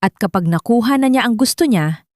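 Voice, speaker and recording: A woman voicing a scheming, sharp-tongued aunt, a villainess in an audio drama. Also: fairly high pitch, expressive, brisk, no room sound, studio quality, pitched above the performer's own voice, close to an everyday voice. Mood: cruel mocking. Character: cruel scheming aunt, mocking and suspicious villainess.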